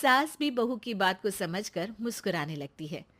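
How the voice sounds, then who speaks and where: A woman's voice: medium pitch, very animated, moderate pace, fairly bright tone. A woman narrating a scripted family moral story.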